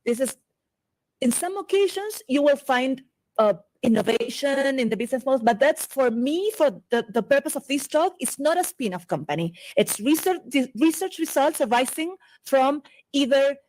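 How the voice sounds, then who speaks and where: Argentine woman in her 40s, spanish is her first language, giving a conference talk in english, kinda high voice, talks fast, lots of restarts. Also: lively intonation, a little mellow, dry recording, low-quality recording. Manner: quick, with many restarts and repeated words. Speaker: conference speaker. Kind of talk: conference lecture.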